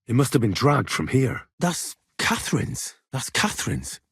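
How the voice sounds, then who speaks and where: A young medieval video game hero, with a slightly husky voice recorded close and dry. He is earnest and wry, mutters his thoughts to himself and curses readily, with pitch that moves widely. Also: sing-song, measured pace, fairly bright tone, no echo, full character voice. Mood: musing, curious. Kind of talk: acted video game voice lines.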